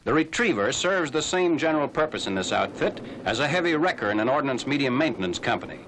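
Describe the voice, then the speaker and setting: A man narrating a scripted 1940s military newsreel in a formal, measured announcer's delivery. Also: medium pitch, very animated, a bit bright. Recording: noisy old 1940s film soundtrack.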